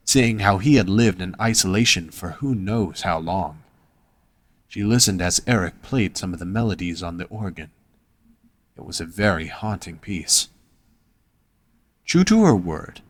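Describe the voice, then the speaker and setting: Casting: male story narrator, lower register, dry recording, reading scripted prose. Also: lively intonation, unhurried, long pauses, no echo.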